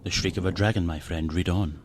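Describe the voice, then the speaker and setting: An actor playing a tormented, guilt-ridden gothic madman in a radio play, brooding and theatrical, with a creaky voice. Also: sits low, fairly even intonation, brisk, a little hiss or hum, lightly acted. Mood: haunted dread. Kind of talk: gothic radio drama acting.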